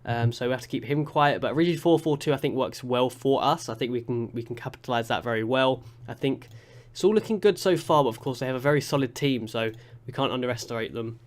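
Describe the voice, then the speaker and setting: A young man with a congested, sniffly voice from a cold, chatting through a football management game with excitable play-by-play commentary. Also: medium pitch, quick pace, few pauses. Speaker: gaming YouTuber.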